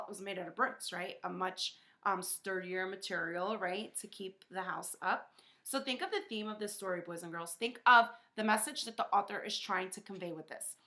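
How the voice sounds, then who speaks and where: Bright-voiced woman teaching a story lesson. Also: mid-range voice. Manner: instructive, asks questions, frequent checking tags. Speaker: teacher reading aloud to children. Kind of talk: storybook read-aloud with lesson explanations.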